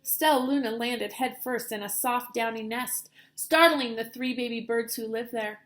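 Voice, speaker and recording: A woman teacher reading a picture book aloud to children in an expressive, dramatic storytelling style. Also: fairly high pitch, normal speed.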